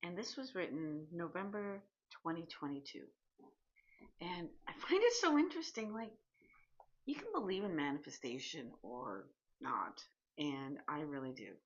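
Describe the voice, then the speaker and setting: A woman in her sixties from Canada, chatting candidly in a personal vlog, pausing and restarting as she goes. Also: low-pitched, sing-song, highs cut off. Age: 60s. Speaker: vlogger.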